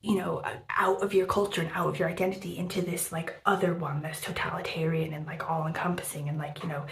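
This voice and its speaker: A woman's voice: on the low side, flat delivery, quick pace, vocal fry. Rambling woman vlogger in a roomy space. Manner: rambling, filler-heavy, swears freely. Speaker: book vlogger. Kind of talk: casual book review chat. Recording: roomy room echo, faint background noise.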